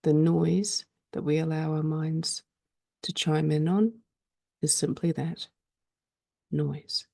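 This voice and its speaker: A woman leading a guided meditation in a calm, soothing way, speaking slowly with frequent pauses. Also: low voice, vocal fry, no echo, studio quality.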